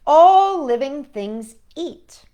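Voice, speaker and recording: A woman's voice: high voice, lively intonation, extremely slow, warm tone, dull audio. A woman hosting a children's storytime, reading aloud and chatting playfully with young listeners, often asking them questions. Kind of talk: children's picture book read-aloud with chatty asides.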